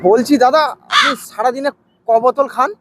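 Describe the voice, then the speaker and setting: A man plays an earnest, naive passer-by in a comedy skit, with an extremely high male voice. He is polite, hesitant and keeps prying and moralizing, over faint background noise. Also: fairly quick. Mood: curious, polite. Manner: deferential, hesitant, persistent questioning.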